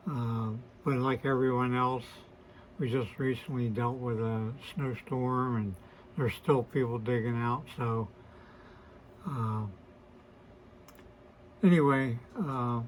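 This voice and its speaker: A man in his sixties with a hoarse, weakened voice, hosting a casual to-camera vlog and talking in a hesitant, apologetic way with many fillers and restarts. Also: medium pitch, a little hiss or hum, rough recording. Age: 60s. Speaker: host of a beard channel.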